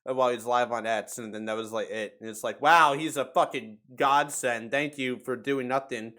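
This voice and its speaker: A man's voice: medium pitch, steady tone, normal speed, pushed and bright. A man hosting a casual podcast, bantering and commenting in a sarcastic, profane way. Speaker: podcast host.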